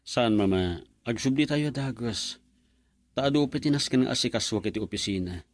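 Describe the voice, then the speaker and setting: A man's voice: mid-range voice, lively intonation, moderate pace, no echo, natural voice. A man with an Ilocano Filipino accent acting in a radio drama as a courteous professional, an attentive son and suitor. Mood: businesslike.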